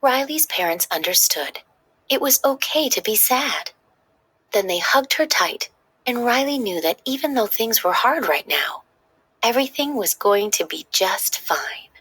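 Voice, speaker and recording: A woman narrating a children's story in an expressive, dramatic read-aloud style. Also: a little high, unhurried, slightly rough.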